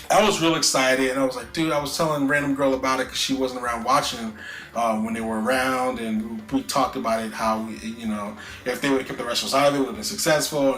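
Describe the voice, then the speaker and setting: Male podcast co-host, bright forceful tone, rambling casual delivery, echoey room with faint music behind. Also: mid-range voice. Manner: loose, rambling, frequent "you know" and restarts. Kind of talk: casual chat.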